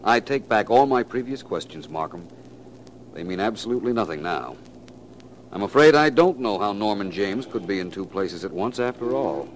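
An actor playing an urbane, self-assured detective with polished, wry, precise speech, in 1940s radio mystery style. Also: male voice, lowish voice, animated, moderate pace, close to an everyday voice. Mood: thoughtful. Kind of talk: 1940s radio crime drama acting. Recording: noisy vintage radio recording.

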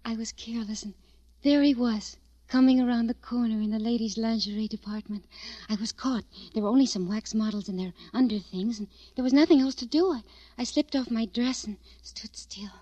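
A woman performs a fearful, dreamy young woman from a vintage radio play. Her voice is high, hesitant and wistful. The old recording is dull and dry. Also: brisk, dark tone, no echo, close to an everyday voice. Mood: dreamy confession. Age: young adult. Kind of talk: 1940s radio drama acting.

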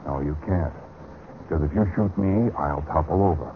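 Man acting a steely, low-voiced old-radio hero. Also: normal speed, close to an everyday voice. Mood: calm menace. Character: shrewd, steely man coolly cornering a killer. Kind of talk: Golden Age radio drama acting. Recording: noisy tinny vintage radio recording.

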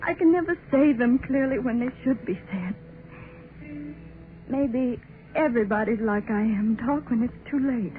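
Actress as a high-voiced, anxious young wife, old radio. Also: female voice, measured pace, phone-quality sound, faint music behind, natural voice. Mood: desperate. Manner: hesitant, pleading, breathlessly emotional. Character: anxious, timid young wife longing for love. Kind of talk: 1930s radio drama acting, interior monologue. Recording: thin, band-limited 1930s radio broadcast.

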